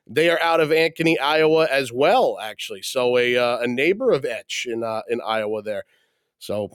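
A relaxed male podcast host talking casually, with his voice still rough from being sick. The sound is dry and close, with no room around it. He jokes easily and swears now and then. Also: fairly high pitch, dry recording. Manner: laid-back, joking, swears casually. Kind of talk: casual podcast chat and show intro. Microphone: remote call, mutes own mic.

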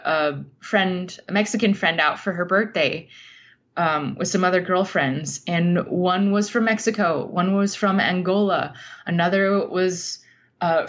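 Slow, thoughtful woman on a muffled line. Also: mid-range voice. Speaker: podcast guest, writer. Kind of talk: reflective interview conversation, storytelling.

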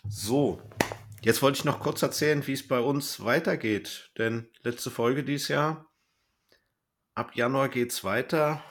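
A man hosting a podcast in casual chat, explaining things and thinking aloud as he goes. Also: medium pitch. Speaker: podcast host.

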